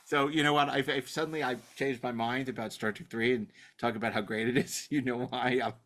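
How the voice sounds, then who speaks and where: A man co-hosting a podcast, chatting casually about films in an animated way, often repeating and stammering over his words. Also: mid-range voice, a little fast. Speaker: podcast co-host.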